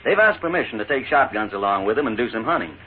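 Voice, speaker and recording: An actor playing a suave, courteous gentleman gambler with a reassuring manner in a 1940s radio western. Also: medium pitch, animated, fast, close to an everyday voice. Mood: charming. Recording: tinny, hissy 1940s radio recording.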